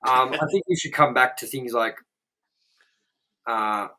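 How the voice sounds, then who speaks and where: A man's voice: mid-range voice, measured pace, pushed and bright, low-quality recording. Aussie guy rambling on a podcast, lots of like and you know what i mean, swears a bunch. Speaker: podcast co-host. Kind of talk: casual chat, swearing freely.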